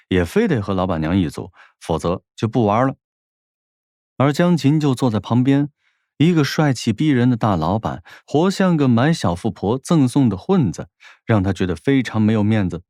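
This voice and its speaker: A man's voice: mid-range voice, big pitch swings, moderate pace, warm tone, no echo, studio quality. A man narrating a scripted audiobook story in a wry storytelling manner.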